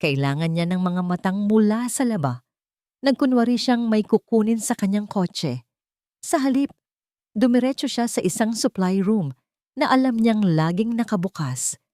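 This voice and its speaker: Female narrator, Filipino accent, slightly low register, dry close sound, dramatic scripted storytelling. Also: mellow, no echo.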